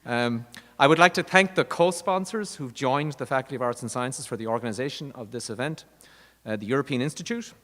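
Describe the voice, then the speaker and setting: A middle-aged Irish man gives a formal welcome at a university event, speaking in a measured way with frequent "uh"s and "um"s. His voice sits at an ordinary male pitch. A long reverb from the lecture hall trails each phrase. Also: fairly bright tone. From Ireland. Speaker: university dean introducing a speaker. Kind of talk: formal introductory remarks.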